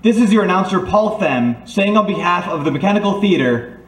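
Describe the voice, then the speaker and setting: A man's voice: high-pitched, expressive, brisk. A man delivering scripted old-time radio announcing in a dramatic, formal manner. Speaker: announcer and narrator. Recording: roomy, slightly distant.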